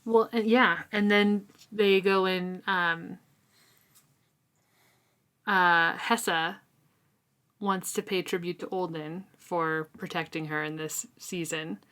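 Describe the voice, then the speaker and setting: A woman with a slightly low voice talks through a novel at an unhurried, leisurely pace. Her delivery is casual and chatty, full of fillers as she recaps and reacts. It sounds like a relaxed book club chat between friends. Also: clear, resonant tone. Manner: chatty, relaxed, often says um and like. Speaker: book club podcast co-host.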